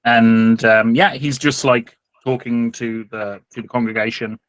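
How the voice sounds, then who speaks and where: A man's voice: mid-range voice, level tone, measured pace, a bit bright, no room sound, poor audio. A man narrating a tabletop game as game master, improvising his descriptions with some hesitation.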